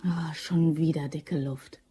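Mellow, teasing young office worker. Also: female voice, deep voice, fairly even intonation, slow, husky, full character voice. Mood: wry, chatty.

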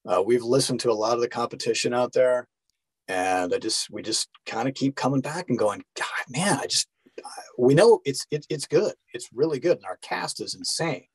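A man's voice: mid-range voice, animated, normal speed, slightly rough, no room sound. A middle-aged actor, a man, explaining things earnestly and informally in a casual interview. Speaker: podcast guest, actor and director.

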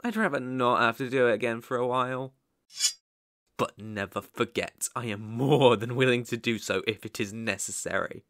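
A man playing a sadistic, obsessive young kidnapper in an ASMR roleplay, gloating with menacing, mocking, drawn-out phrasing. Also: mid-range voice, a bit bright, no room sound, studio quality, close to an everyday voice. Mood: cold, possessive.

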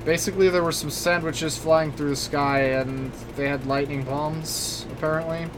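A gaming video host giving casual, rambling, joking commentary, excitable and given to swearing. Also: medium pitch, normal speed, background noise. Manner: excitable, with bursts of exclamation. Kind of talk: casual let's play commentary. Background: loud video game music.

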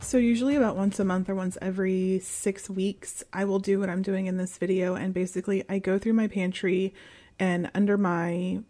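A woman's voice: mid-range voice, little pitch movement, moderate pace, dark tone, faint music behind. A woman in her thirties from the United States, chatting casually in a vlog with lots of ums and likes while cleaning her home kitchen. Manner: rambling, many ums and likes. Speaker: vlogger. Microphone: phone at arm's length, later far camera.

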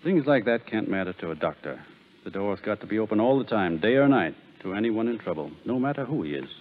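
An actor playing a kindly, patient Southern country doctor in 1930s radio drama, speaking plainly and unhurriedly. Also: male voice, on the low side, animated, fast talker. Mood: calm, patient. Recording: muffled, phone-like 1930s radio recording.

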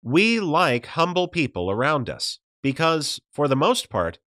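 Male narrator for faith-based study audiobook: measured, animated intonation, very dry close studio sound. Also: fairly high pitch, no echo. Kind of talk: scripted narration of a religious study book.

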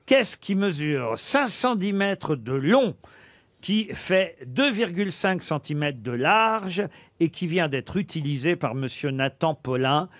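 A man hosts a radio panel show in a studio, his voice sitting high for a man. He teases the panelists and fires quiz questions at them in lively banter. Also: slow, no room sound. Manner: teasing, animated, quizzing panelists.

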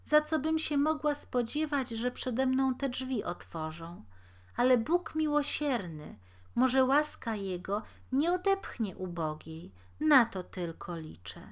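A woman's voice: fairly high pitch, lively intonation, slow pace, voice pushed up. A woman voicing a humble, sad, pious poor old woman in a storybook tale, speaking meekly and deferentially. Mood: sad, hopeful. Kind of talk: storybook character reading.

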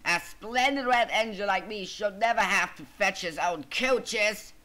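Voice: medium pitch, a little slow, sharp tone. An actor voicing a vain, impatient male steam engine in a children's storybook, bossy and given to puffed exclamations. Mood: sulky, indignant. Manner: puffing repeated exclamations, boastful and bossy. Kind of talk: children's audio storybook with music.

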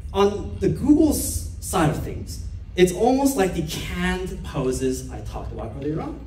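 A young man from Korea speaks to a theater crowd in a playful, chatty way, often throwing questions at them. His voice is fairly high and jumps around a lot. The sound is roomy and picked up from some distance, with a faint hum of room noise behind it. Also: a little mellow. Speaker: speaker giving a stage talk. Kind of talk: lively stage talk to an audience. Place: dark theater stage with an audience. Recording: roomy stage sound heard from far off.

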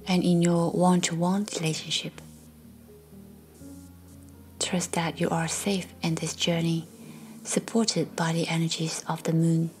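Low, very slow, breathy female meditation guide. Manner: gentle, measured, with long pauses between short phrases. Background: faint background music.

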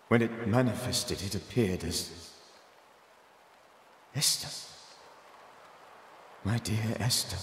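A man's voice: on the low side, a bit bright. A man playing the ghost of an older reverend and mentor in a video game, grave, pleading and formal. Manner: solemn, measured, formal phrasing. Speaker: reverend and former banisher. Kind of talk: dramatic video game acting. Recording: echoey hall reverb, faint noise, ambient music.

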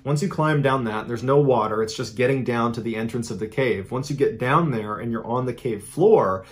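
A man in his thirties, the show's host, telling a true story to camera from a studio in an animated, conversational way. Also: medium pitch, moderate pace. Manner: animated, conversational retelling with dramatic emphasis. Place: indoor studio with a green-screen backdrop.

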